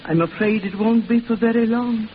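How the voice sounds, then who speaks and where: An actress playing a frank, kindly old grandmother in a 1940s radio drama, gentle, knowing and amused. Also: fairly high pitch, moderate pace, lightly acted. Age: older. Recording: muffled, hissy old radio recording.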